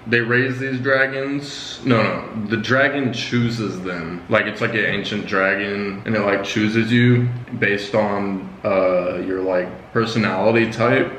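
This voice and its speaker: A man's voice: fairly low pitch, steady tone, takes it slowly, hardly any pauses, a bit bright. A young adult man improvising guesses as a guest in a casual chat, recorded at home a short way from the camera. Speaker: host's boyfriend, guest guessing along. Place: indoor room at home. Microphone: camera a short distance away. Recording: echoey room with faint background noise.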